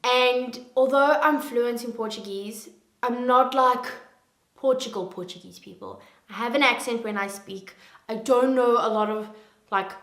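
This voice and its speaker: A woman in her twenties chatting casually to camera as a vlogger, self-mocking and animated, a native English speaker. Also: on the high side, reverberant. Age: 20s.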